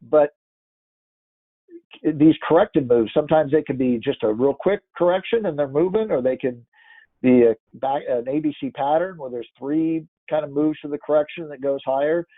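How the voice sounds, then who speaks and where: A congested man walks through market charts in a loose, rambling way, restarting his sentences as he thinks aloud. His voice sits at an ordinary middle pitch. The audio is thin and phone-like, with no room echo at all. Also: normal speed. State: congested, stuffy-nosed. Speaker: market analyst. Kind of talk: casual chart-by-chart market commentary. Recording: tinny phone-like dry audio.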